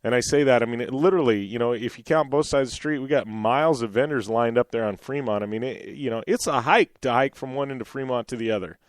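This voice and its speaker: A man hosting a radio show in a casual, rambling way, full of "you know" and restarts. His voice is a little raspy from a cold, yet it still comes through smooth and resonant. The sound is close and dry, with no room behind it. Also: medium pitch, fast talker, few pauses, no echo. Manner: rambling, many fillers and restarts. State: slightly raspy from losing his voice.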